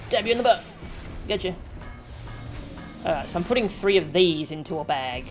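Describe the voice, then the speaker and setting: Woman, game streamer, slightly low voice, casual playful commentary, music in the background. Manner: playful, joking.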